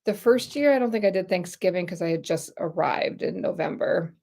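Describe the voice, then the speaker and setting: A woman's voice: lowish voice, expressive, fairly quick, creaky, mellow. A middle-aged American woman chatting casually on a livestream, rambling and talking straight to her viewers. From United States. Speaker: YouTube channel host.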